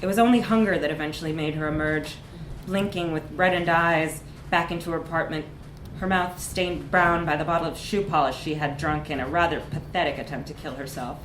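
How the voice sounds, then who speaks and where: A woman's voice: low-pitched, steady tone, a little fast, a bit bright, reverberant, a little hiss or hum, rough recording. A woman reads a comic short story aloud at a live event, with wry, emphatic narration. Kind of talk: animated literary narration, live reading.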